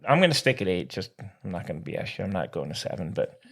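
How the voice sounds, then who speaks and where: A man's voice: sits low, sing-song, fast, gravelly. A man co-hosting a podcast in casual, joking sports chat, boastful and folksy, often repeating himself. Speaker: podcast co-host.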